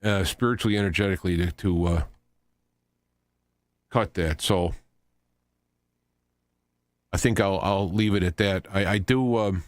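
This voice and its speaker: Low-voiced man rambling on talk radio. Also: no echo. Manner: halting, rambling, repeats and restarts phrases. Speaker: radio talk show host. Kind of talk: unscripted news commentary monologue.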